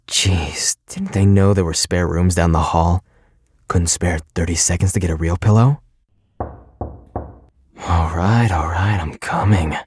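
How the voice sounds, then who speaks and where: A man's voice: low-pitched, fairly even intonation, takes it slowly, pauses often, darkish tone, breathy edge, no room sound, full character voice. A man playing a casual, sullen young prince who is stubbornly loyal, in an audio drama. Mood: sleepy, awkward. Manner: casual, slangy, incredulous. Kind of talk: audio drama acting and first-person narration.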